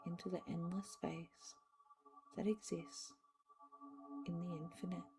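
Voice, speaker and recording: A woman leading a guided meditation in a soft, soothing style, speaking in short, separated phrases with long pauses. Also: fairly low pitch, flat delivery. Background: meditation music with singing bowls.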